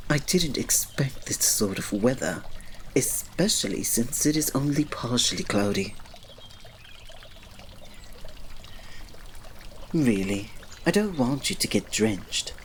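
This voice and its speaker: A man playing a reserved, devoted royal servant in an ASMR roleplay, speaking formally and politely with quiet fondness and restraint. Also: mid-range voice, a little hiss or hum. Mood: concerned. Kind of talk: ASMR roleplay, scripted drama.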